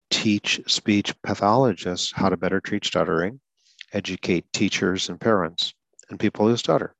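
Man, very deep voice, podcast host, earnest coaching tone, some word repetitions, dry close sound. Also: no echo, rough recording. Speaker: podcast host and stuttering advocate. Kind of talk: solo podcast explanation.

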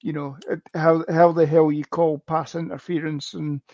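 A man's voice: fairly high pitch, little pitch movement, normal speed, darkish tone, no room sound, lo-fi sound. A man co-hosting a fan podcast, talking football in a rambling, casual way with many fillers. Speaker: podcast co-host. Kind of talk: casual sports chat.

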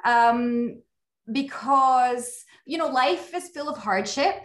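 A woman's voice: high voice, slow, echoey room. A woman from Scotland with a Scottish accent, a guest reflecting thoughtfully in a casual interview, with frequent fillers and restarts.

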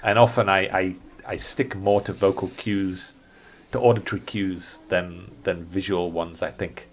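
Low-voiced man in casual podcast conversation. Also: fairly even intonation, a little slow, slight background noise. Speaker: birding tour guide.

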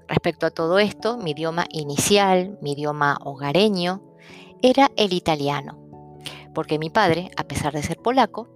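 A middle-aged woman from Argentina with an Argentine accent, calmly explaining things in a personal talk. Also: lowish voice. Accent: Argentine Spanish. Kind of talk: personal talk on stuttering and languages.

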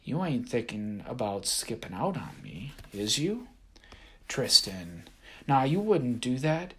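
A man doing a raspy, drawling cotton haint. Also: mid-range voice, sing-song, slow, pushed and bright, full character voice. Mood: wheedling. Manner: drawling, folksy Southern-style talk. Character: sinister male cotton haint, wheedling and menacing.

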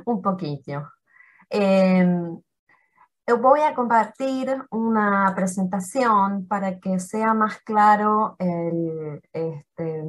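Argentine woman giving an informal research talk, slow and halting, mid pitch. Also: fairly bright tone. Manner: hesitant, searching for words. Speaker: guest researcher presenting. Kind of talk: informal academic presentation.

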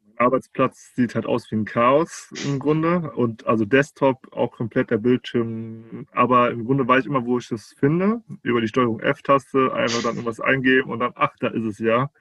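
A young man talks casually about himself, loose and slangy, sometimes hesitant. His voice sits at an ordinary pitch. The sound is dry, with no room echo. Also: fairly even intonation, moderate pace, fairly bright tone. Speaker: prospective doctoral candidate. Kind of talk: casual conversation.

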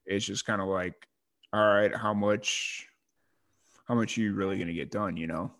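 Host, male, low-ish voice, easygoing chat with plenty of fillers. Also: moderate pace. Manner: relaxed, filler-heavy, uses like and you know. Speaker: podcast host. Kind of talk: casual interview chat.

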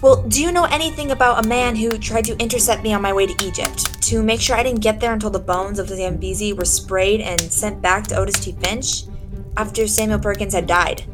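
A woman playing a sharp, confident female detective who questions suspects pointedly, in old-time radio drama style. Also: mid-range voice, a little fast, over music, natural voice. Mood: probing, insistent. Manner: pointed, probing, theatrical.

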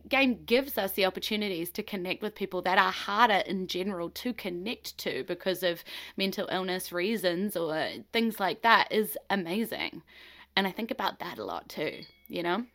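A woman's voice: mid-range voice, fairly bright tone. A woman hosting her own podcast, talking reflectively and conversationally to listeners. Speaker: podcast host. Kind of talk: solo podcast talk.

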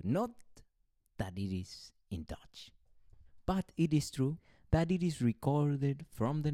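A man's voice: mid-range voice, mellow, dry recording, studio quality. A man hosting a podcast interview, joking and theatrical, with mock asides to himself. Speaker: podcast host, student ambassador. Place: Netherlands.

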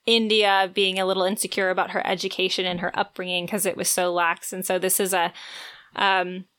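Enthusiastic woman with a bright voice. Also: fairly low pitch, flat delivery, fairly quick. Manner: emphatic, enthusiastic. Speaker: book podcast co-host. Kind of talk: casual book discussion chat.

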